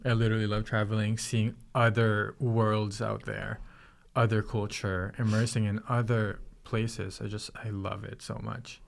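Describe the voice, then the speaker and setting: Filipino-accented young man, gravelly and low. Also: level tone, unhurried. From Philippines. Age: 20s. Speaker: co-host. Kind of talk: casual chat.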